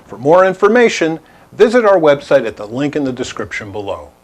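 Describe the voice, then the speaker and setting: A man presenting a scripted product overview in an instructional, emphatic, clearly structured way. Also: fairly high pitch, big pitch swings, brisk. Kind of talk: scripted explainer narration to camera.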